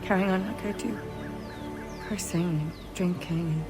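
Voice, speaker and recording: A woman's voice: on the low side, unhurried, natural voice. Actress as a rueful young Irish pirate woman. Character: young female pirate, rough-living, grieving, self-reproaching. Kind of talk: dramatic game cutscene acting. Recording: music behind the voice.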